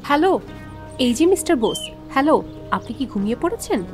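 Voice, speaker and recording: A woman voicing an impatient, insistent office receptionist in an animated story. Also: high voice, a little fast, over music. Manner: insistent, calling out.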